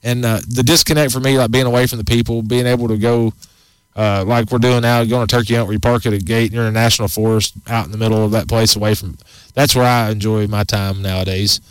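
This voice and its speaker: A man's voice: lowish voice, steady tone, quick pace, clear, resonant tone, no echo, poor audio. A man in his forties hosting a casual outdoors radio show, rambling and folksy with many restarts. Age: 40s.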